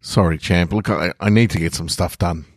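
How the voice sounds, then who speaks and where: Guy reading as a distracted aussie dad, low voice, offhand but fond, storybook podcast, dry sound. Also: fairly even intonation, dry recording. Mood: distracted, apologetic. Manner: offhand, halting, colloquial. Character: busy, distracted but affectionate father.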